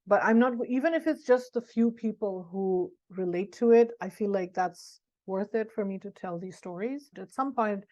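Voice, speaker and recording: A woman's voice: mid-range voice, normal speed, hardly any pauses, dark tone. A middle-aged woman author answering interview questions in a frank, reflective way, thinking aloud as she goes. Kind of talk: candid conversational interview answers. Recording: dull lo-fi audio.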